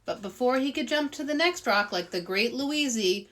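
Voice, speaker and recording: A woman reading aloud at children's story time as an expressive, dramatic storyteller. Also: fairly high pitch, moderate pace, recorded from a distance. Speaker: author reading aloud, host.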